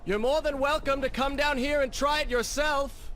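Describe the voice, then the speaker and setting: An actor playing a brooding, sardonic young warrior prince with a vengeful streak in a video game cutscene. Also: male voice, very high voice, steady tone, normal speed, a bit bright, a little hiss or hum.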